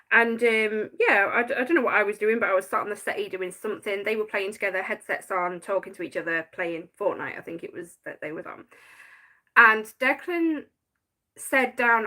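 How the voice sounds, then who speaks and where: A woman's voice: medium pitch, pushed and bright. A woman, chatting casually on a live stream about her notes and laughing often. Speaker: paranormal channel host.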